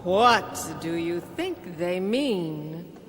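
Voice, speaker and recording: Haughty dark-realm queen, radio play: very slow, bright and cutting, in a reverberant hall. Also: fairly low pitch, lively intonation. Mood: regal, probing. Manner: imperious, slow and deliberate. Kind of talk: radio drama acting. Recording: long hall reverb, faint noise.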